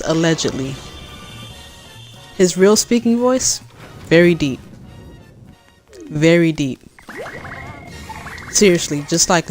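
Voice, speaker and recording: A woman from California with a deep voice, chatting casually as she plays a game and answers her chat, rambling with many fillers and restarts. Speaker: gaming streamer.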